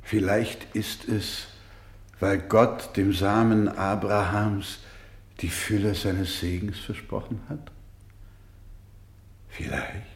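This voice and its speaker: Weary, devout doctor for radio drama: slow, solemn, gentle, halting, roomy echoing sound. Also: male voice, fairly low pitch, fairly even intonation. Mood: grave, sorrowful. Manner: solemn, halting, swelling from whisper to fervour. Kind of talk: dramatic radio play acting.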